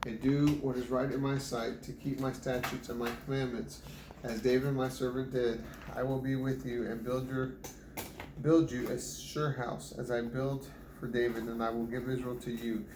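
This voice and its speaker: Man, home Bible reader, steady flat delivery, trips over names; echoey room with noise behind. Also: mid-range voice, moderate pace. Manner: stumbles and restarts on hard names. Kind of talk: reading scripture aloud.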